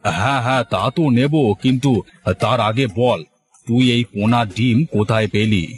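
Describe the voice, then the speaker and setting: A man's voice: medium pitch, fairly even intonation, normal speed, close to an everyday voice. A man voicing a familiar, teasing older village man in a cartoon story. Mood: familiar, probing. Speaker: cartoon character voice. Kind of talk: Bengali cartoon story dialogue.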